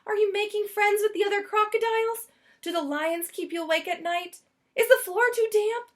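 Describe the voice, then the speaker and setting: Woman acting fussing, high-pitched worried motherly lady. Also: normal speed. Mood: anxious, fussing. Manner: anxious, coaxing questions.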